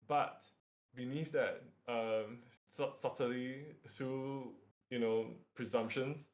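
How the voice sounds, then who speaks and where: A man's voice: mid-range voice, steady tone, slow pace, a little mellow. A man with a Singaporean accent and a mid-range voice talks through material as a workshop facilitator. His delivery is an informal lecture, feeling its way through phrases and often checking in with a short tag.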